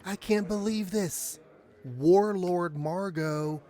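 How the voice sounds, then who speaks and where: Actor as excitable, higher-voiced young guy. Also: slow. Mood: amazed, gossipy. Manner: exclamatory, informal. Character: excitable, gossipy young male theater crew member. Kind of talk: audio drama acting.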